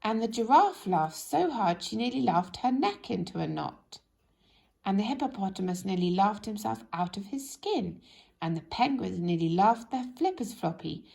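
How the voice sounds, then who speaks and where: A woman reading a children's story aloud in an expressive, animated way, speaking directly to young listeners. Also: mid-range voice, normal speed, gravelly. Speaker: storybook reader.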